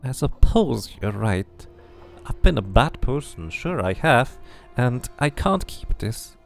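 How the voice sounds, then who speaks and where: A man's voice: medium pitch, sing-song, slow, vocal fry, faint music behind, voice pushed up, exaggerated voice. A man voicing a sad, haunted woman who clings to something she stole, speaking in a fragile, pleading way while reading a game aloud. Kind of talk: amateur game voice acting.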